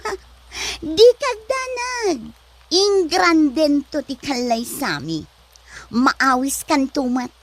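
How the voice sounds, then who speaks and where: Woman acting a slow, haughty, high-pitched Ilocano braggart. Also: expressive. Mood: boastful. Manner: haughty, drawn-out taunting. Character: vain, mocking young woman, ambitious and boastful. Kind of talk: Ilocano comedy drama acting.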